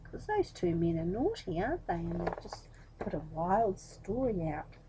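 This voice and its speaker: A woman's voice: lowish voice, sing-song, moderate pace, noisy background. A woman reading a children's storybook expressively, talking directly to young listeners as the storyteller.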